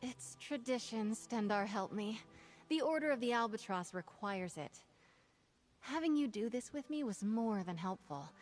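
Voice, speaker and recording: High-voiced, nervous young woman knight. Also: expressive, unhurried, faint music behind. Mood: grateful, resolute. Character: nervous, earnest young female knight aspirant. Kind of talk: video game voice acting.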